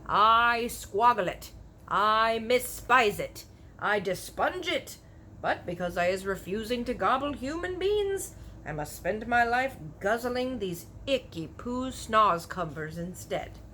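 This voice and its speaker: Very old male storybook giant, played by a woman: earnest, blustery, mangled grammar, emphatic drawn-out words, forceful tone. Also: fairly high pitch, lively intonation, slight background noise, pitched above the performer's own voice, exaggerated voice. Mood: disgusted.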